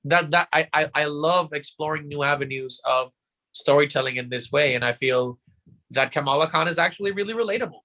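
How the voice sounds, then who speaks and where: A man's voice: on the high side, brisk, pushed and bright. A man hosting a casual podcast chat, often repeating his phrases for emphasis. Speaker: podcast host.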